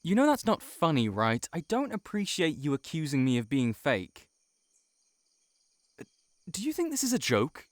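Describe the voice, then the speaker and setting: A man's voice: fairly high pitch, wildly swooping pitch, dry recording, studio quality, voice pushed up. A man playing a lovelorn shapeshifting monster posing as a friend in an intimate audio roleplay, speaking in an earnest, hesitant, pleading way. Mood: defensive, hurt. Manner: hesitant, halting, emotionally pleading.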